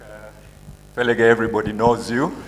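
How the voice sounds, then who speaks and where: A man's voice: lowish voice, level tone, normal speed, faint music behind. A man chairing a round of workshop introductions, thanking each speaker politely and joking lightly. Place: conference hall. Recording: reverberant conference hall, faint hum.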